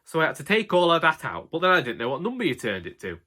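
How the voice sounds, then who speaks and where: A man's voice: a little high, huge pitch swings, fast talker, bright, forceful tone. A man with a Northern English accent narrating a comic first-person audiobook as a droll, grumbling, long-suffering husband.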